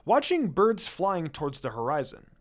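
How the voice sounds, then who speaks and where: A man's voice: fairly high pitch, wildly swooping pitch, moderate pace. A man narrating a scripted story expressively, reading fan fiction aloud. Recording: music under the voice. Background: music.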